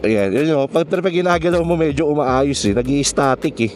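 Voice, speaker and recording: A man's voice: on the high side, fairly quick, a little mellow, faint music behind. A Filipino man vlogging on a motorcycle, talking in a casual, joking, slangy way and mixing in English. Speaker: motovlogger. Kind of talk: casual chat while riding a motorcycle. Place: outdoors on a motorcycle in city traffic.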